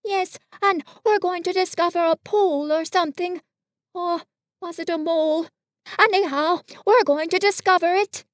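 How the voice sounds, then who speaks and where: A woman's voice: very high voice, steady tone, moderate pace, dry recording, studio quality, pitched above the performer's own voice. A woman voicing a cheerful, simple little bear in a children's story, with a naive, bumbling, eager manner. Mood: eager, innocent. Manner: naive, bumbling, muddles long words. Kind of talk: children's storybook character reading.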